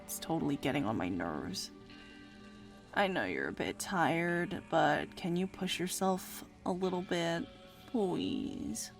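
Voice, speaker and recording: A woman's voice: low voice, very animated, takes it slowly, soft background music, heavily acted. A woman playing a sarcastic, bratty vampire deity in an audio drama, flippant, slangy and impatient. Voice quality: creaky. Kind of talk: visual-novel audio drama.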